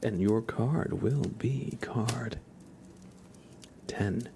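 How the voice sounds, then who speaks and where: A man hosting an ASMR livestream, speaking softly and breathily in a gentle, soothing, unhurried way, often slipping into a whisper. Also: mid-range voice, slight background noise. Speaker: livestream host. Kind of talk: ASMR livestream, chatting to viewers.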